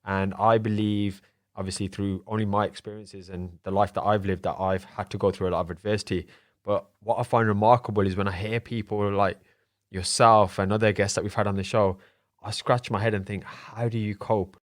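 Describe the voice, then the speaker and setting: A man's voice: on the low side, little pitch movement, a little fast, no room sound, clean studio sound. A man hosting an interview podcast, speaking earnestly and encouragingly to his guest. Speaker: podcast host. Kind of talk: interview host.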